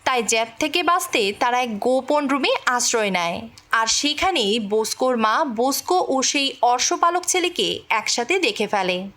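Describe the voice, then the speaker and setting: A woman's voice: on the high side, animated, normal speed, a bit bright. A woman telling a film's plot as scripted story narration. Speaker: movie recap narrator.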